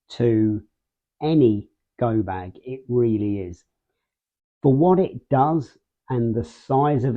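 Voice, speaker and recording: A man's voice: mid-range voice, phone-quality sound. A man hosting a casual product review, explaining things step by step. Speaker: host of a radio gear review channel.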